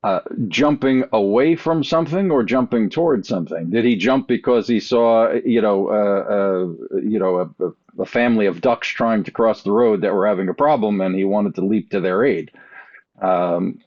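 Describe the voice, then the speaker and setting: A man in casual chat as a podcast panelist, rambling through halting questions and guesses. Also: fairly low pitch, darkish tone. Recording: dull lo-fi audio.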